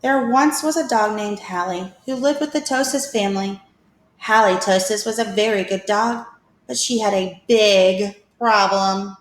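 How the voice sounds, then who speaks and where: A woman reading a picture book aloud to children as a teacher, with expressive, deliberate storytelling. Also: mid-range voice. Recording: echoey room.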